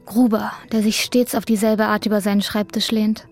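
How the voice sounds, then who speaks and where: A woman's voice: mid-range voice, flat delivery, moderate pace, darkish tone, soft background music. A woman narrating a literary radio drama from a script.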